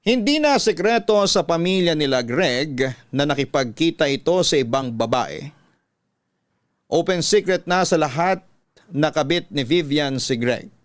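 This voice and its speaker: Smooth, higher-voiced Filipino man narrating dramatically. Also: lively intonation, measured pace, dry recording. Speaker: radio-style storyteller host reading a letter. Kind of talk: dramatic scripted narration in classic Filipino radio manner.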